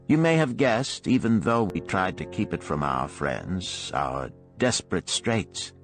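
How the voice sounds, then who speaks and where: A man narrating an audiobook of parables and letters in a measured, expressive storytelling manner. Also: lowish voice.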